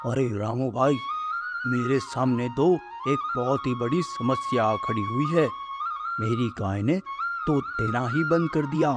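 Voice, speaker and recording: A man plays an envious, scheming milkman in a cartoon moral story. His delivery is dramatic and full of complaint, with hugely animated intonation at a medium pitch. Loud flute music runs underneath. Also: measured pace. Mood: worried complaint. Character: scheming village milkman, anxious, greedy, envious.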